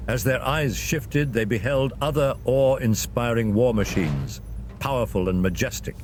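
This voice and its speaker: A man narrating a scripted fantasy story as a dramatic, expressive storyteller. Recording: loud ambient rumble behind narration.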